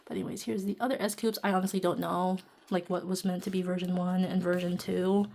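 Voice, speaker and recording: A woman vlogger chatting casually and a little quietly while sitting down at home, with lots of fillers and laughs. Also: fairly low pitch, little pitch movement, fast talker, vocal fry, slight background noise. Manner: talking softly while seated. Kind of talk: casual chatty vlog narration. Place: indoors at home, seated.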